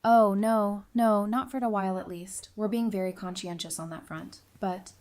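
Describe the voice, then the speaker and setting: A woman playing a warm, antsy young newlywed in an audio drama, talking casually and affectionately. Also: medium pitch, fairly even intonation, moderate pace, close to an everyday voice. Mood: flustered, happy. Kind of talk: fan audio drama acting.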